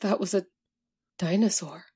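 A woman's voice: medium pitch, unhurried, warm tone, slightly rough. A woman playing a devoted, pleading young woman. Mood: hushed, fearful. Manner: breathless, pleading. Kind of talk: old-time radio drama acting.

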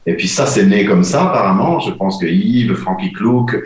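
A deep-voiced man in his forties talks on a video call from his home studio. He is relaxed and halting, often repeating words. The audio sounds roomy. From Belgium. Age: 40s. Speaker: DJ and producer guest. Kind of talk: casual interview on a video call. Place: home music studio, indoors.

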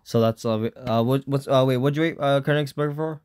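A woman hosting a casual chat, rating game characters out loud, hesitant, with many fillers and restarts. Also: a bass voice, a little fast. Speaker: host.

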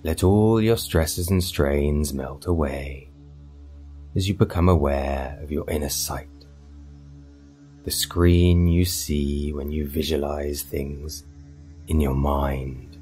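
A man narrating a scripted guided meditation in a soothing, hypnotic, measured way with long pauses. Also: extremely low pitch, steady tone. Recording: voice over meditation music. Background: soft meditation music.

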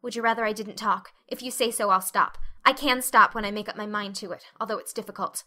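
A woman's voice: mid-range voice, steady tone, fast talker, bright, forceful tone, natural voice. A woman voicing a talkative, dreamy, dramatic orphan girl with an earnest, effusive manner in an audiobook. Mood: eager, happy.

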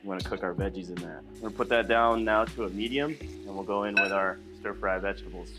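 A young man talking viewers through a recipe in a small studio kitchen set, relaxed and chatty, with music playing underneath. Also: lowish voice, fast talker. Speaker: cooking vlogger. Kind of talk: casual cooking demonstration. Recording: clear background music under voice.

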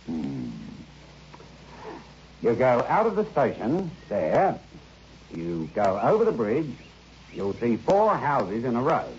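An actor playing a polite, deliberate railway ticket collector in an old radio drama. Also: male voice, mid-range voice, huge pitch swings, darkish tone. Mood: helpful, deliberate. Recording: dull old radio recording, faint noise.

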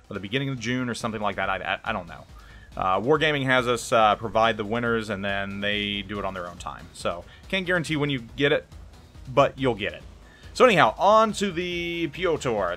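A man's voice: lowish voice, pushed and bright. Rambling man reviewing a game. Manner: rambling, hesitant, repeats words. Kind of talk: casual gameplay commentary.

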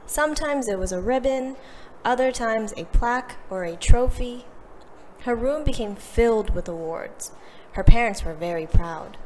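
A woman's voice: a little high, moderate pace, big-room sound. A woman reads a children's picture book aloud expressively, acting out the characters' lines. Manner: animated delivery, acting out the characters' quoted lines. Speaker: storybook reader. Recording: clearly noisy background.

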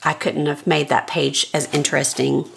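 Scratchy low-voiced woman touring her sketchbook. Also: moderate pace, gravelly. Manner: relaxed, thinking aloud while flipping pages. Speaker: artist giving a sketchbook tour. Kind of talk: casual sketchbook walkthrough. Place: home art studio, indoors. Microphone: overhead camera, speaker off-frame.

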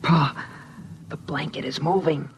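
A man's voice: fairly high pitch, fairly even intonation, unhurried, a little hiss or hum. An actor playing a frightened, imaginative boy narrating a 1950s radio drama. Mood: scared, urgent. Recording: dull old radio recording, faint music.

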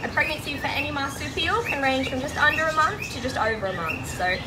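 A woman's voice: high voice, fast talker. A woman giving a chatty, playful, informal animal talk as a zookeeper. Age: 20s. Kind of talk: informal educational animal talk to a live audience. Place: outdoor zoo enclosure. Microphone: handheld phone a few steps away. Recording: noisy outdoor phone recording with birdsong.

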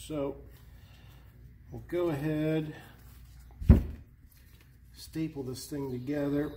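A man in his fifties casually narrating hands-on garage work, with halting, hesitant phrasing. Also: medium pitch, warm tone, a little hiss or hum. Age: 50s. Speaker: DIY hobbyist host. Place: indoor garage workshop.

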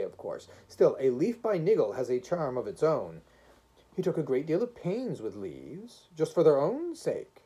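A reader voicing a gentle, patient advocate who pleads kindly and reasonably in measured phrases. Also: mid-range voice, breathy edge, pitched above the performer's own voice.

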